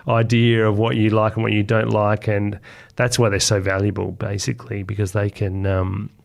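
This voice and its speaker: A man's voice: fairly low pitch, level tone, normal speed, gravelly. A man with an Australian accent chatting informally and enthusiastically as a guest author in an interview. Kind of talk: casual conversational interview.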